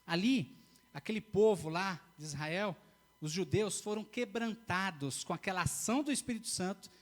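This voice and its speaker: A man's voice: high voice, normal speed. A man preaching a sermon in church, earnest, repeating key phrases and often restarting mid-sentence.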